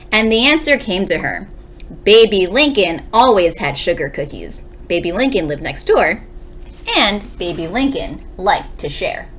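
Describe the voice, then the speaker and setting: A woman's voice: mid-range voice, a bit bright. A woman, a second grade teacher, reading a storybook aloud expressively and explaining it for young listeners. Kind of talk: expressive children's read-aloud.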